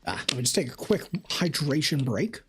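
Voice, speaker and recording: A man live-streaming a game, reading chat questions aloud and answering them in an animated way. His voice is slightly raspy. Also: mid-range voice, normal speed, music in the background.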